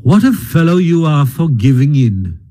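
Hearty storybook water rat, voiced by a man, raised pitch, husky edge, coaxing and brisk. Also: big pitch swings, mellow. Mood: reproachful. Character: cheery bustling male water rat, hearty. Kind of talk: storybook reading.

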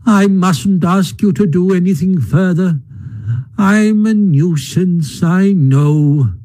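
A man reading a storybook aloud and voicing a feeble, self-pitying male toad who talks in a faint, drawn-out, plaintive way. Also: sits high, mellow, voice pushed up. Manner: faint, drawn-out, mock-dying plaintive delivery.

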